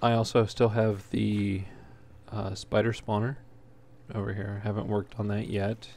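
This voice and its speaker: A man giving casual gameplay commentary in a halting way, as if thinking aloud while he plays. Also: on the low side, flat delivery, a little mellow. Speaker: let's player, host. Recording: clear background noise.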